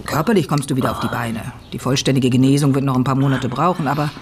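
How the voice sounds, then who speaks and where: A woman's voice: a bass voice, steady tone, fast talker, recorded from a distance. Firm, caring woman over background noise. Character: tough, caring female ex-intelligence agent nursing a wounded friend. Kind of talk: radio drama dialogue.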